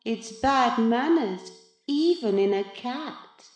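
A woman voicing a smug, gloating cat who has become a boy in a children's story, taunting with drawn-out mock politeness. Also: a little high, lively intonation, roomy sound, voice pushed up. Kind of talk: children's storybook character reading.

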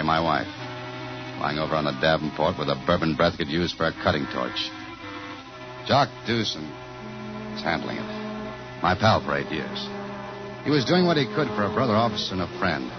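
Very deep-voiced hard-boiled detective. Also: male voice, expressive, moderate pace, long pauses. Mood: brooding. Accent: American. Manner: terse, weary, slangy. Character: hard-boiled American police detective, shaken husband of suspect. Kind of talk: 1950s radio noir acting, first-person narration. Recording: muffled vintage 1950 radio recording with music.

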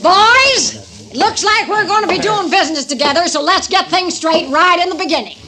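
A woman's voice: very high voice, moderate pace, crowd noise. An actress playing a tough, bossy middle-aged woman who owns a frontier saloon, in a 1950s radio drama. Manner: brassy, bossy, frontier drawl. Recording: old radio broadcast with music.

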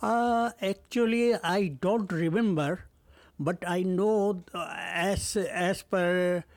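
Older Pakistani man in his 70s, native Urdu speaker: high-pitched, slow and halting, dry close sound. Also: steady tone, no echo. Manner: hesitant, halting, many fillers, formal phrasing. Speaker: interview guest. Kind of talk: family interview.

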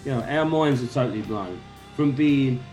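A man's voice: medium pitch, moderate pace, soft background music. A man from England, a band member guest, telling stories about his band in a casual interview. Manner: animated anecdotes, quotes others.

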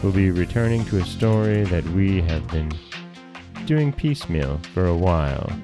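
A man's voice: low voice, animated, moderate pace, over music. A man hosting a storytelling podcast, narrating in a scripted folktale style.

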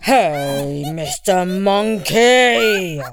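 A woman voicing a big, boastful male tiger who blusters loudly. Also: mid-range voice, expressive, very slow. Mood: blustering. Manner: loud roaring bluster.

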